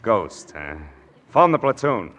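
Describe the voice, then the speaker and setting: A brisk army lieutenant. He is stern and dry, his pitch moving widely, in forties radio style with long reverb around the voice. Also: sing-song, fairly bright tone, muffled recording, full character voice. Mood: skeptical, stern. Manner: commanding, dry. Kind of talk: 1940s radio acting.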